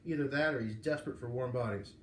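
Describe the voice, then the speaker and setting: A man's voice: medium pitch, fast, lightly acted. A man voicing a pompous, impatient, silver-haired vice president, sarcastic and condescending, in a storybook reading. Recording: roomy, echoing, noisy home recording.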